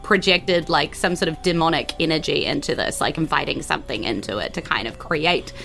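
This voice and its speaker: A woman with a bright, forward voice runs a tabletop role-playing game. She explains things informally and haltingly, feeling her way through the narration, with faint music underneath. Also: sits low, gravelly. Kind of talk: unscripted game narration, casual.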